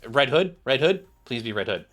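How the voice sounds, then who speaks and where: A man's voice: mid-range voice, big pitch swings, normal speed, a bit bright, soft background music. A man giving casual, off-the-cuff commentary on a game, rambling and thinking aloud as he plays. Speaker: gaming video creator.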